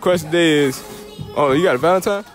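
A man's voice: on the high side, quick pace, soft background music. A nineteen-year-old man vlogging in a shopping mall, laughing and joking in casual slang as he asks strangers questions on a handheld camera. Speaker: vlogger asking prank questions. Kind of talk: casual street vlog.

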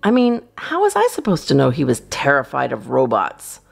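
A woman's voice: a bass voice, huge pitch swings, moderate pace, vocal fry, slightly rough. A woman reading a children's storybook aloud expressively, as a storytime reader. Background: guitar music.

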